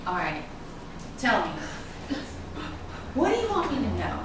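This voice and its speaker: Actress doing a guarded sarcastic woman in a stage drama, swingy pitch, far from the mic. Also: huge pitch swings, unhurried. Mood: challenging. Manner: sarcastic, cutting, confrontational. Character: defiant, guarded actress in love with a suspected man. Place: echoey room at a staged reading. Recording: echoey room, distant mic, noisy background.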